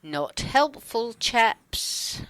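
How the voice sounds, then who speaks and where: A British-accented woman reading her side of a dialogue in a chatty, wry, expressive conversational style. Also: a little high, drawn-out words, no echo.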